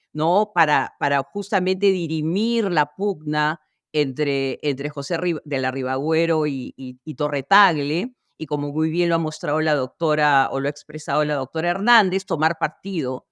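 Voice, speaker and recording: A woman hosting a history interview, speaking off the cuff in a measured, formal, academic way. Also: sits low, no echo, studio quality. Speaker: host. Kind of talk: history interview hosting, unscripted closing remarks.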